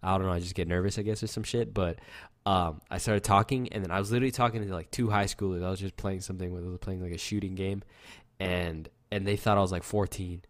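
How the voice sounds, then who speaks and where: A young man with a fairly low, youthful-sounding voice, chatting loosely and swearing as he rambles through stories. The sound is dry and close, with no room around him. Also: fairly even intonation, fast, no room sound. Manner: rambling, loose storytelling with lots of fillers and swearing. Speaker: podcast co-host. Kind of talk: casual chat.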